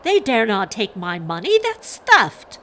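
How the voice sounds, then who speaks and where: A woman's voice: medium pitch, huge pitch swings, very slow, vocal fry, slight background noise, pitched above the performer's own voice, heavily acted. Woman playing an overwrought, growling miserly father. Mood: indignant. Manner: bellowing, growling. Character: stern, miserly father, middle-aged or older, overwrought.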